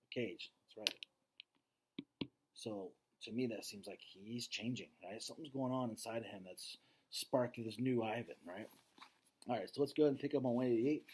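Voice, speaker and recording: A man's voice: mid-range voice, a little mellow, husky. A man speaking as a teacher reading aloud to students, conversational and casual, often checking in with his listeners as he comments.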